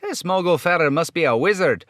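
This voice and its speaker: A man voicing an eager, chatty police sidekick in an old radio serial style, excitable and folksy. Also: a little high, brisk, no echo, clean studio sound, voice pushed up. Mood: eager, curious.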